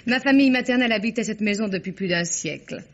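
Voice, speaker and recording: An actress playing a gracious country-house hostess. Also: female voice, mid-range voice, fast, close to an everyday voice. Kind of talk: 1950s French radio drama acting. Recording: dull old radio recording.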